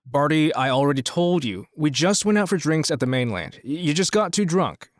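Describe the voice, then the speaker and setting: An actor playing a persuasive, scheming rich promoter in an audio drama, talking in a businesslike way. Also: male voice, a little high, expressive, moderate pace, dry recording, studio quality, close to an everyday voice. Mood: placating.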